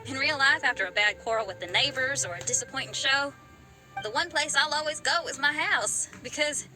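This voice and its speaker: A woman's voice: high voice, brisk, sharp tone, soft background music. A woman voicing a friendly, frank young woman in video game character dialogue. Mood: friendly, reflective.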